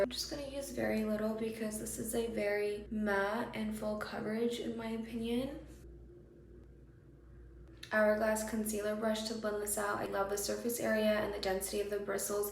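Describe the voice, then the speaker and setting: A woman chatting her way through a makeup tutorial, her voice a little raspy because she is losing it, sometimes dropping to a soft whisper while she applies products. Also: mid-range voice, reverberant. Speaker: beauty creator.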